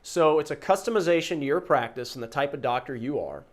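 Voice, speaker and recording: Man giving an emphatic business sales pitch. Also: expressive, fast. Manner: emphatic, conversational sales pitch with rhetorical questions. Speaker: host and practice-management trainer pitching a service. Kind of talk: promotional explainer to camera or listener.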